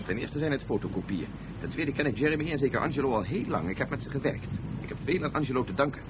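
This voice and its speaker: A man's voice: mid-range voice, animated, fast talker, noisy background, close to an everyday voice. A man playing a stern, formal, rational scientist in a radio play, speaking precisely and insistently. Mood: matter-of-fact.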